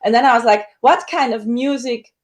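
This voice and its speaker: A woman, a soprano singer, telling her story in a casual interview with lively acted-out quotes. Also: brisk. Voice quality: high dramatic coloratura soprano voice.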